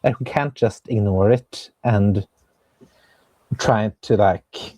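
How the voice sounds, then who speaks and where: Slow, mellow young Norwegian man. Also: fairly low pitch, no echo, poor audio. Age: young adult. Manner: thoughtful, searching for words with many pauses and fillers. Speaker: composer and viola player, guest. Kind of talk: casual conversation.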